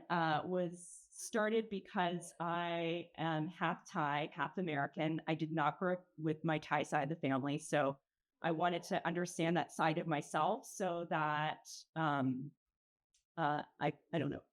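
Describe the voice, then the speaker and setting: A woman presenting an arts organization's work in an informal slide talk, explaining in a measured, halting way with pauses. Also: low-pitched. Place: event pavilion.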